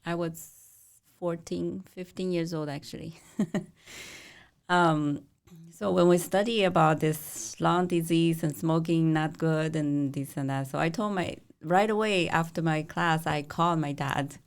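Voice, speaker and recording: A woman's voice: deep voice, steady tone, measured pace, clean tone. A woman from China with a Chinese accent, a nurse practitioner telling stories in casual interview talk, with simple non-native phrasing and frequent laughs.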